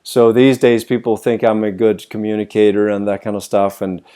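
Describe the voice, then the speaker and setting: Man, middle-aged voice coach, mellow, lowish pitch, thoughtful rhetorical style over video call. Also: level tone, normal speed, smooth. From Sweden. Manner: thoughtful, many rhetorical questions. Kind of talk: reflective interview answers.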